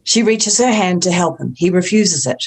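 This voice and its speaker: A woman's voice: on the low side, lively intonation, normal speed, a little mellow, husky. A woman reading the stage directions at a script table read.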